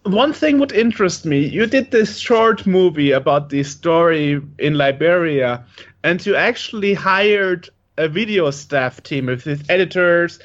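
A man with a high voice asks questions as an interviewer. He sounds curious and casual, and his audio is muffled and lo-fi. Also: lively intonation, a little slow. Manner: inquisitive, questioning. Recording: dull lo-fi audio.

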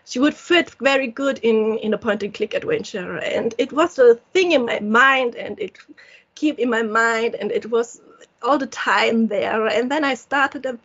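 A woman's voice: on the high side, a bit bright, slightly rough. Brief: German-accented woman, indie dev interviewee, hesitant non-native English, dull lo-fi sound. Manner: halting, searching for words, frequent restarts. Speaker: solo indie game developer. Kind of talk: casual interview answers.

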